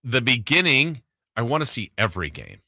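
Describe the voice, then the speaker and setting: A man's voice: medium pitch, sing-song, moderate pace, bright, forceful tone, no room sound, clean studio sound. A man hosting a sports radio show, talking casually and conversationally with emphatic points.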